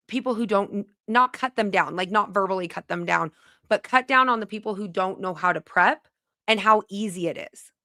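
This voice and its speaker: A woman's voice: mid-range voice, bright, forceful tone, smooth, no room sound, studio quality. A woman hosting a casual live stream from her home, chatting and reading viewer comments aloud. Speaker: livestream host.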